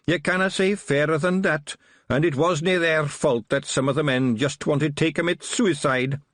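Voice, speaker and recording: A man's voice: moderate pace, dry recording, clean studio sound. A man voicing a tiny, fierce, long-winded male pictsie policeman with a put-on Scottish accent, in a storybook reading. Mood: earnest. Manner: excitable, high, loud and long-winded.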